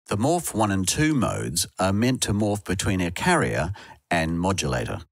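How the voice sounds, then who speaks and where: A man reading a scripted software tutorial as narrator, recorded as a voice-over. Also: sits low, very animated, moderate pace, gravelly. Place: close dry voice-over recording.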